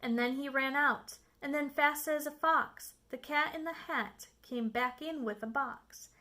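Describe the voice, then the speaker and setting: A woman reading a children's story aloud as an expressive narrator, with emphatic, dramatic phrasing. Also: sits high, measured pace. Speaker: storybook reader.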